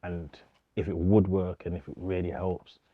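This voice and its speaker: A man's voice: low voice, flat delivery, normal speed, slightly rough, no room sound, phone-quality sound. A young man, speaking informally with slang and repetition as a DJ being interviewed. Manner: informal, slangy, repeats himself. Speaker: DJ, interview guest. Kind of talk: casual documentary interview.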